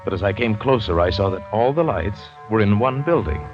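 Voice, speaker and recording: A low-pitched male actor as a shipwrecked hunter recounts his ordeal in vivid, dramatic first person. It is old radio drama, with a dull, muffled sound and prominent music behind the voice. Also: expressive, a little fast, close to an everyday voice. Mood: suspenseful. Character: shipwrecked American big-game hunter narrating his ordeal.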